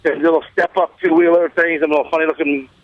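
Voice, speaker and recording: A man with a slightly high voice and a dry, close radio sound. He tells a comic story in a rambling, casual way, acting out each side of the dialogue. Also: level tone, fast, dry recording, dull audio. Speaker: show guest telling a story. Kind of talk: casual comedic anecdote on talk radio.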